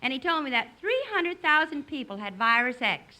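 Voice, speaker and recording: A woman in a 1950s radio comedy, delivering deadpan wisecracks. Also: high-pitched, moderate pace. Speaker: comedy show cast member.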